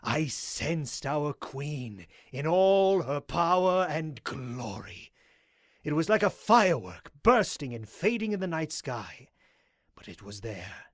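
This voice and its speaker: Theatrical, higher-voiced zealous cult leader played by a man. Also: big pitch swings, takes it slowly, no echo, studio quality, heavily acted. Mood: reverent, exultant. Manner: theatrical, grandiose, formal. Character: zealous, courteous male pony cult leader. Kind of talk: dramatic audio drama acting.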